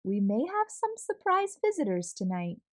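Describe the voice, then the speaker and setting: A woman voicing a gentle, kind aunt who calmly explains things in a storybook. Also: a little high, moderate pace, no echo, clean studio sound. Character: calm kind adult aunt.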